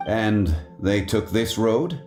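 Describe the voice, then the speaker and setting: An actor playing a composed, courteous gentleman in a video game, speaking in a measured, formal way. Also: on the low side. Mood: calm, inquiring. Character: composed, courteous gentleman adventurer, adult man. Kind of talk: video game character acting. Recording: faint music, roomy sound.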